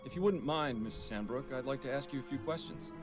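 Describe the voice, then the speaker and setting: A man's voice: medium pitch, quick pace, music in the background, natural voice. A man playing a dry, businesslike police detective in a voiced adventure game, asking things politely and to the point.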